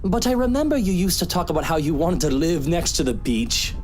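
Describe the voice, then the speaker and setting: A woman voicing a caring, gently teasing young husband from a visual novel. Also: on the low side, very animated, brisk, faint music behind. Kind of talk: visual novel character read-aloud.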